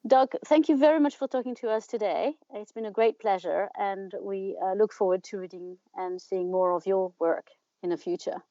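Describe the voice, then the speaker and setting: A woman hosting a podcast interview in a measured, polite style. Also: medium pitch, mellow, no room sound, dull audio.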